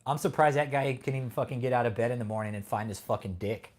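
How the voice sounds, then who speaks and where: A man's voice: mid-range voice, quick pace. A man from California hosting a live stream, reading chat and taunting a troll with heavy swearing and laughter. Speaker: livestream host. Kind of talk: casual livestream chat.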